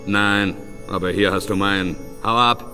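A man's voice: fairly low pitch, steady tone, moderate pace, pushed and bright, in a large hall, faint music behind. A man voicing a grumpy, rude Scottish man living in a talking portrait in a game, with a Scottish accent. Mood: gruff. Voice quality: gruff, rude, dismissive. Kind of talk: game dialogue.

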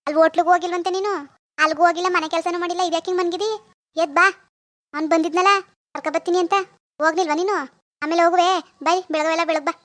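A woman's voice: very high-pitched, fairly even intonation, quick pace, a bit bright. A woman voicing a bossy rural mother-in-law in a domestic drama, speaking brusquely in rustic phrases. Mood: scolding. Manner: brusque commands in rustic speech. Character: scolding, bossy but easily softened rural mother-in-law. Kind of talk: amateur domestic drama acting.

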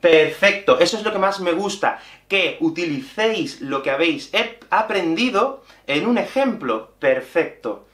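Lively teacher, bright voice. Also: male voice, high-pitched, unhurried. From Spain. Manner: animated, gestures constantly while explaining. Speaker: Spanish teacher. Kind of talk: online language lesson. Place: small room with bookshelf and whiteboard. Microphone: camera at mid-shot distance. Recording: echoey small room.

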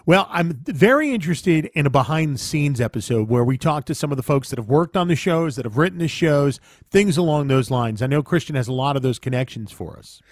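A man's voice: on the high side, animated, fairly quick, no room sound. Upbeat dry-voiced podcast co-host man. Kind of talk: casual chat.

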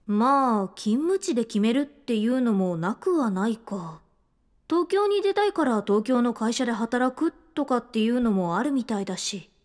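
An overworked office woman, as an actress voices her, expressive and a little flustered. Her high voice swings widely, and she sounds self-deprecating and chatty. The recording is close and dry. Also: normal speed, no room sound, lightly acted. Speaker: voice actress and narrator of the story. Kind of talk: voice drama acting with inner narration. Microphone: close studio mic.

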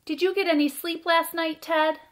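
A woman voicing a big, friendly, eager male dog from a storybook, with exclamatory, drawn-out delivery. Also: very high voice, steady tone, fairly bright tone, pitched above the performer's own voice.

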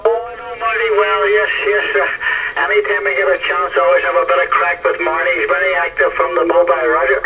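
A man's voice: high voice, flat delivery, a little fast. A man chatting casually as an amateur radio operator, heard through a radio transmission. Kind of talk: casual radio contact chat. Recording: dull noisy shortwave radio reception.

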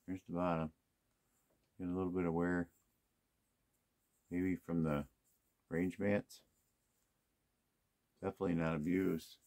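A man in no hurry talks his way through an unboxing, thinking out loud as he looks over each item. His voice is low and mellow, and he sounds relaxed and easygoing throughout. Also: measured pace, pauses often. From United States. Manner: relaxed, musing aloud, hums to himself. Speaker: golf club unboxing host. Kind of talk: casual unboxing commentary.

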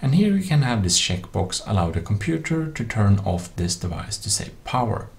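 A man with a low, deep voice presents a tech tutorial as a voice-over. He explains things in a casual, practical manner. His English has non-native phrasing. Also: big pitch swings, moderate pace, vocal fry. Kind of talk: casual explanatory screen-recording narration. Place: voice-over for a screen recording.

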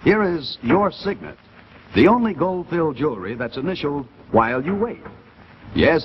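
Lively high-pitched American TV announcer man. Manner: polished sales delivery. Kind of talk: 1950s television announcing and sponsor commercial. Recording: dull 1950s TV kinescope, faint noise.

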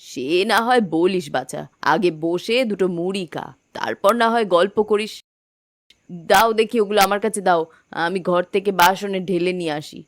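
A woman's voice: medium pitch, normal speed, no room sound, natural voice. A woman voicing a middle-aged, nagging yet hospitable village housewife in a cartoon story. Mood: motherly. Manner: complaining, homely. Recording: background music bed.

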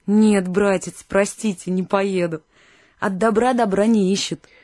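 A woman's voice: mid-range voice, steady tone, a little slow, darkish tone, dry recording, close to an everyday voice. An actress playing a proud, frank young village woman, content and loving, in a radio play. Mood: warm, plain.

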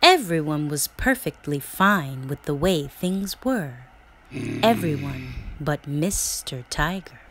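A woman reading a children's picture book aloud as a storyteller, expressive and dramatic, sometimes dropping to a whisper for emphasis. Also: deep voice, takes it slowly, noisy background.

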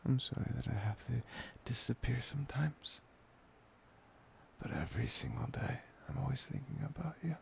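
A man performing an intimate boyfriend ASMR roleplay, murmuring softly and tenderly, breathy and often dropping to a whisper. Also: mid-range voice, a little hiss or hum. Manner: soft, tender, murmuring with long pauses.

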